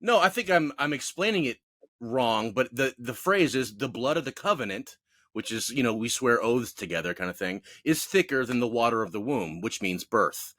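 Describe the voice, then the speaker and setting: A man with a bright, forceful voice recorded dry and close. He co-hosts a casual podcast and rants about his pet peeves. He stays opinionated while poking fun at himself. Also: mid-range voice, normal speed, dry recording. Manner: opinionated, animated, self-mocking. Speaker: podcast co-host. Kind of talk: casual ranting chat.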